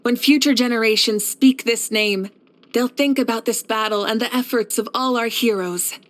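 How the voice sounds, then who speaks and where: A woman's voice: on the high side, lightly acted. A woman playing a composed, assured fire leader. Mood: earnest, assured. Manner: assured, measured, encouraging. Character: confident, composed, kindly fire archon war leader. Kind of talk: scripted video game character voice lines.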